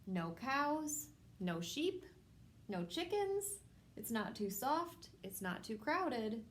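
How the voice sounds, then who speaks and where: A woman hosting a children's storytime, reading a picture book aloud in simple, measured phrases addressed to young children. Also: fairly high pitch, big pitch swings, a little hiss or hum. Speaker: children's storytime host at a public library.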